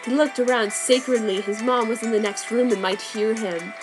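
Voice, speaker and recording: Woman reading with deadpan mock drama. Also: on the high side, normal speed. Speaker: reader. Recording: background music. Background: soundtrack music.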